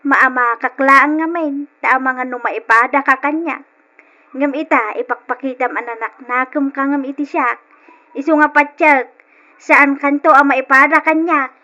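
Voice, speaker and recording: A caring middle-aged mother with an Ilocano accent. Her voice is very bright and high, with an earnest, concerned delivery in old radio drama style. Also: steady tone, measured pace. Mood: concerned, gentle.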